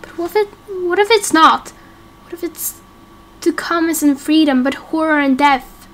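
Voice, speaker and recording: A woman's voice: high voice, measured pace. A woman voicing a defiant, protective female character in a game roleplay, accusing and earnest. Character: defiant, protective woman challenging her leader.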